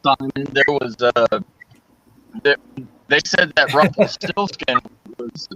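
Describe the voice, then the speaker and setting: Slow, bright-toned man rambling as host. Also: medium pitch, animated, soft background music. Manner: rambling, many restarts and fillers. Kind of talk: casual group chat. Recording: dull dry lo-fi sound.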